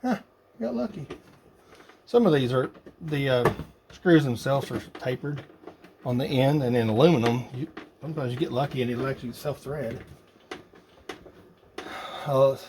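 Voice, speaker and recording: A man casually narrating a hands-on build, muttering and thinking aloud as he works. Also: mid-range voice. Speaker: hobbyist radio builder. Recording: noisy workshop recording with clicks.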